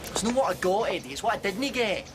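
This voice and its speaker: High, animated, indignant Scottish man. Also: fast talker, background noise. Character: disgruntled male radio DJ, scheming and indignant. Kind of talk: naturalistic drama dialogue.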